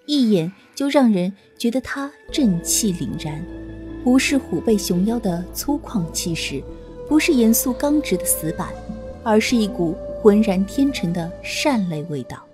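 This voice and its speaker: Female audiobook narrator, lively intonation, measured pace, faint music behind. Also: medium pitch. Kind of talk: expressive scripted novel narration.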